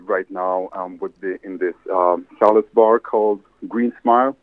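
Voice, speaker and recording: A man from Sweden, answering interview questions in a rambling, halting way with many restarts. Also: low voice, fairly even intonation, dry recording. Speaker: interview guest, entrepreneur. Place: salad bar. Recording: tinny phone line.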